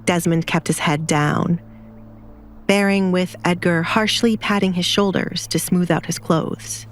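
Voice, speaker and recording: A woman's voice: on the low side, fairly even intonation, a little slow. A woman narrating a scripted dramatic story as an audiobook narrator. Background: background music.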